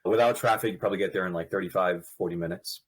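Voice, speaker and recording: Husky-voiced man telling his story. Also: low voice. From Pakistan, raised in Brooklyn, New York. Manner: candid, animated storyteller with quick laughs. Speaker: guest, nurse anesthetist. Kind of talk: podcast interview.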